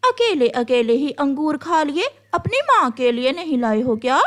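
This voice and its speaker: A woman's voice: high voice, big pitch swings, normal speed, fairly bright tone. A woman voicing a proud, snobbish, doting mother crow in a children's cartoon, with a haughty, boastful manner. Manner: haughty, boastful, scornful of lesser birds. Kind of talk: children's animal cartoon voice acting.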